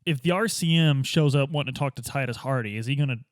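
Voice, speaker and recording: A man playing a tabletop roleplaying game, chatting informally and excitably in casual banter. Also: mid-range voice, fast talker, no echo, studio quality. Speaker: tabletop player, podcast co-host.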